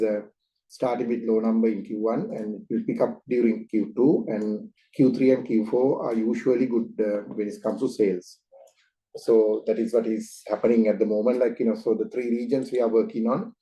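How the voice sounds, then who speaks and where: A man's voice: lowish voice, warm tone, rough recording. A man answering investor questions as a company executive on a video call, explaining things in a conversational way. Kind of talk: spontaneous business Q&A answer.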